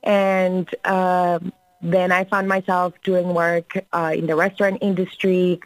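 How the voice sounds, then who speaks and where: A woman's voice: lowish voice, takes it slowly, dry recording, rough recording. Earnest Colombian-born woman organizer. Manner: earnest, steady, with fillers. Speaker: guest, labor organizer. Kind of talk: interview answers.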